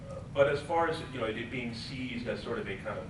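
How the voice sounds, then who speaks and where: A man's voice: medium pitch, fairly quick. A man speaking on a conference panel, thoughtful and hedging, often restarting his sentences. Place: conference hall. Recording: echoey conference hall from far off, noisy.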